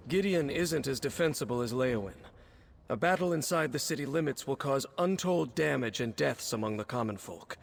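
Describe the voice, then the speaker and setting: A man's voice: medium pitch, slight background noise, natural voice. An actor playing a dutiful male military captain in a video game, with a formal, authoritative delivery.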